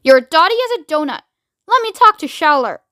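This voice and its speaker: A woman voicing a pompous, short-tempered male President with a rasping voice in a children's audiobook, barking orders. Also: sits high, sing-song, brisk, fairly bright tone, no room sound, clean studio sound, voice pushed up. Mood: incredulous.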